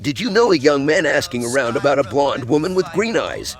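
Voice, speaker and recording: A man's voice: medium pitch, sing-song, brisk. A man playing a haughty young elven agent in a video game, imperious and sardonic, with open disdain for commoners. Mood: exasperated. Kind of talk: video game roleplay dialogue. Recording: music behind the voice.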